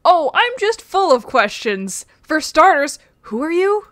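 A woman's voice: sits high, sing-song, a little slow, fairly bright tone, no room sound. A woman doing casual let's-play commentary, rambling and self-deprecating, on a close microphone. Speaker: video game streamer.

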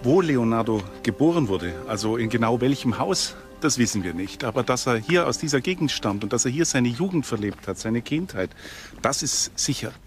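Male professor explaining, medium-pitched voice. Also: lively intonation, normal speed, soft background music. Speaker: professor, expert interviewee. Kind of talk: explanatory documentary interview.

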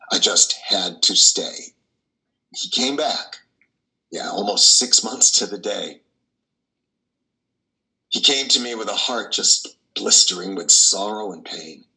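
An older man playing a troubled, tender priest who confesses his doubts haltingly and fervently in a play reading over a video call. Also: lowish voice, sharp tone, low-quality recording. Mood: hesitant, yearning. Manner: halting, fervent, with repeated words. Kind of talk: dramatic monologue in a play reading. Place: wood-panelled room at home, indoors. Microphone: video call, computer microphone.

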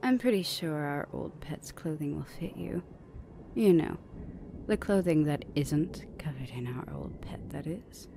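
A woman playing a dominant older vampire sister in an ASMR roleplay, breathy and languid with dry teasing. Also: deep voice, lively intonation, a little slow, noisy background, heavily acted. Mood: haughty.

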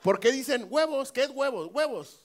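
A middle-aged man with a Mexican Spanish accent doing stand-up crowd work on a handheld mic, brash and teasing, swearing and bursting into shouts. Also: very high-pitched, animated, moderate pace. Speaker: stand-up comedian working the crowd. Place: small comedy club stage with live audience.

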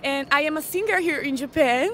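Lively woman, high and bright. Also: a little fast, a little hiss or hum. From Brazil. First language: Portuguese. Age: 20s. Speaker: interviewee. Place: outdoors on a busy city street at night. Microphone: handheld mic.

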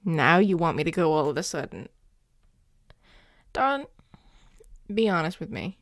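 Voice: medium pitch, lively intonation, a little slow, dry recording. An actor playing a grumpy but caring werewolf boyfriend in an intimate audio roleplay, dry and exasperated, with sighs. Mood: concerned, tender. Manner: sighing, dry, exasperated, teasing.

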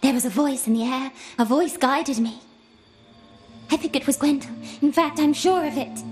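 A woman voicing a solemn, gentle elf in a video game, wistful and watchful, speaking in a measured, earnest way. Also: high-pitched, natural voice. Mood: urgent, thoughtful. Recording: music behind the voice.

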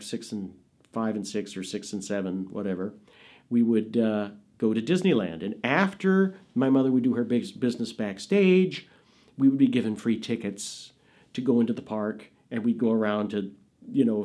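Lively storytelling middle-aged man. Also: on the low side, normal speed. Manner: animated, expressive storyteller. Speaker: interviewee. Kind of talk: casual storytelling.

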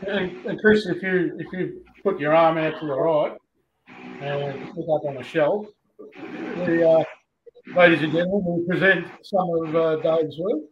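A man's voice: on the high side, a little slow, dull audio. A middle-aged Australian man hosting a casual panel chat over a video call from a small workshop. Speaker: podcast host. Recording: roomy, noisy workshop video-call audio.

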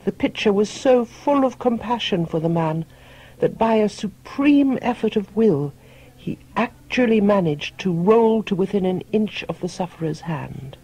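A man's voice: high-pitched, expressive, a little slow, a little mellow, breathy edge, poor audio. A man reading a short fable as a storyteller, in scripted audiobook narration.

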